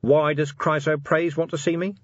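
A man voicing a weary, decent police commander in a storybook, gruff and dryly sardonic, talking plainly and firmly. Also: fairly high pitch, flat delivery, moderate pace, dry recording, highs cut off, voice pushed up, lightly acted. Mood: commanding. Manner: dry, sardonic, rousing plain talk.

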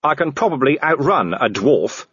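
A man playing a gruff middle-aged city watch commander who gives clipped, brisk orders. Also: on the high side, big pitch swings, a bit bright, no echo, lo-fi sound, pitched above the performer's own voice, full character voice. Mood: urgent.

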